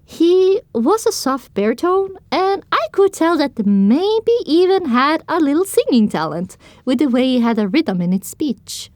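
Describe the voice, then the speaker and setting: High-voiced woman narrating an audio drama. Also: big pitch swings, unhurried, few pauses, a little mellow, dry recording. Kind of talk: first-person scripted audio drama narration.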